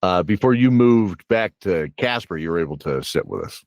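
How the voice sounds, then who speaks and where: A man with a fairly low voice and a close, dry sound hosts a casual interview. He rambles through stories as a game master would, doubling back on words while he thinks. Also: lively intonation, normal speed, darkish tone, dry recording. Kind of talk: casual podcast interview and storytelling.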